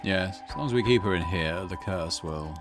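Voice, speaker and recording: A man plays a calculating male captor from a visual novel in a low voice. He is calm, formal and measured, with a polite menace. Music sits clearly behind him. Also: natural voice. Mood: businesslike. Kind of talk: visual novel character reading.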